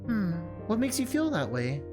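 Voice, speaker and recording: Visual novel teen boy, acted by a streamer: friendly, curious, earnest, higher-pitched, over background music. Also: huge pitch swings, normal speed. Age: seventeen-year-old figure. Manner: earnest, eager. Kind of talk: visual novel character read aloud.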